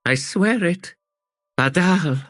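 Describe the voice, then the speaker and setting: A man puts on the high voice of a fierce young girl in an audiobook, far above his own register, chanting her lines slowly and with impassioned force. The recording is dry and close. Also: animated, fairly bright tone, no echo, full character voice. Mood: fierce, vowing.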